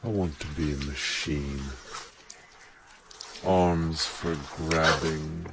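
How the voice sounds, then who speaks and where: An actor playing a disgusted, world-weary intellectual in an audio play, declaiming a monologue in halting, broken phrases. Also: male voice, extremely low pitch, fairly even intonation, very noisy, exaggerated voice. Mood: weary, withdrawn. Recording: music under the voice.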